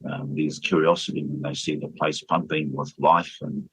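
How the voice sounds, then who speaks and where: A man's voice: extremely low pitch, flat delivery, moderate pace, fairly bright tone, slightly rough, no room sound, poor audio. A man leading a church, speaking earnestly and steadily in a radio interview, with fillers and restarts. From New Zealand. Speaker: church leader.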